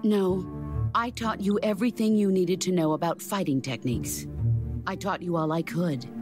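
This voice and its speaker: An actress playing a mature legendary soldier and mentor in a video game, calm, stern and deliberate. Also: female voice, fairly low pitch, music in the background, close to an everyday voice. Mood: stern, teaching. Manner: deliberate, philosophical, commanding.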